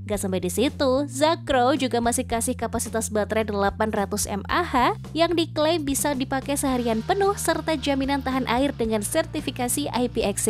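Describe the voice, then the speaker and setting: A woman reading a scripted promotional product review voice-over in a chatty, persuasive manner. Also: a little high. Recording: music bed under studio voice-over. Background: background music.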